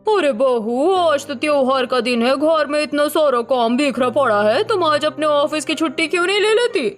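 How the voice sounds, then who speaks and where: Critical, prying mother-in-law female actor, high voice, animated family drama, music underneath. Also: moderate pace. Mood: nagging. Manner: questioning, nagging.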